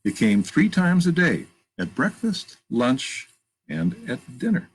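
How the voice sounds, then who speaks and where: A man with a gravelly, husky voice reads a picture book aloud to children, pausing to point out pictures and ask questions. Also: mid-range voice. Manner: animated, emphatic read-aloud delivery. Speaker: children's storytime reader. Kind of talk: reading a picture book aloud with chatty asides.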